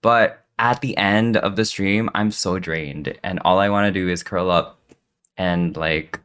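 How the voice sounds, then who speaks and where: Podcast co-host and streamer, man from the Philippines; lowish pitch, easygoing and reflective. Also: a little slow, clean tone. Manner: easygoing, thoughtful, laughs softly. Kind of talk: casual chat.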